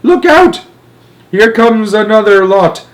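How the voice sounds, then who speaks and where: A man voicing a panicking male astronaut in a storybook, urgent and shouting. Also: sits high, expressive, takes it slowly, voice pushed up. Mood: alarmed shouting.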